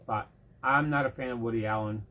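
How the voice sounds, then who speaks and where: A woman's voice: a bass voice, a little fast, a bit bright, slight background noise, rough recording. A woman co-hosting a casual movie chat, giving short, blunt verdicts. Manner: terse, blunt comments. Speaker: co-host.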